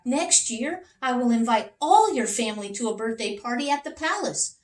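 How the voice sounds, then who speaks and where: A woman voicing an excitable, earnest king in a read-aloud storybook. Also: on the high side, normal speed, distant mic, voice pushed up, natural voice. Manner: exclaiming, earnest. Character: excitable, emotional, childlike king, adult man. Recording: roomy echo, away from mic.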